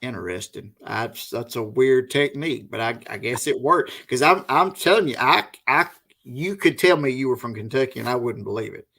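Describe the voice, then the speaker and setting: A middle-aged man from the Deep South with a Southern US accent, hosting a casual interview in an informal, folksy way. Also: animated, moderate pace, gravelly, fairly bright tone. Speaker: host, interviewer.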